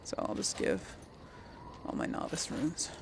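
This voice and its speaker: A woman's voice: measured pace, slight background noise. A woman giving casual, chatty video game commentary, thinking aloud as she plays.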